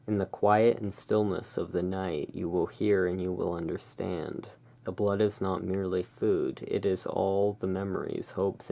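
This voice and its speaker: A person playing the soft-spoken, musing owner of a gothic curiosity emporium in a halting, hushed ASMR roleplay. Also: low-pitched, creaky, exaggerated voice. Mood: solemn reading.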